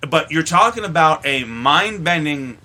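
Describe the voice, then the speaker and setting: Male podcast co-host, sharp forceful voice on the high side, emphatic and sweary in casual movie talk. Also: animated, unhurried. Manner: emphatic, profane. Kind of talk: casual chat about films.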